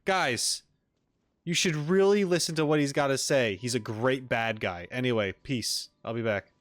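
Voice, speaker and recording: A man's voice: mid-range voice, moderate pace, no room sound. A man giving casual, wry, joking commentary as he streams a video game.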